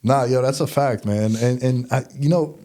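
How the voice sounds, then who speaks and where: Male sports talk host, New York accent, soft-edged mellow tone, slangy and emphatic, casual chat. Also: mid-range voice, moderate pace.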